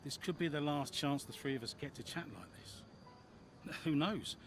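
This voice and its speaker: An actor playing a blunt, coaxing older racing team mentor in a video game cutscene. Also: male voice, on the high side, a little slow, slight background noise, natural voice. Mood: insistent.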